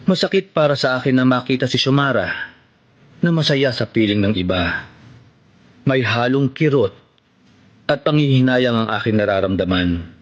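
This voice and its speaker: A man's voice: medium pitch, highs cut off, natural voice. A man acting a heartbroken friend who narrates his hopeless love earnestly in a Tagalog radio drama. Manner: sorrowful, earnest. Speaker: narrating character.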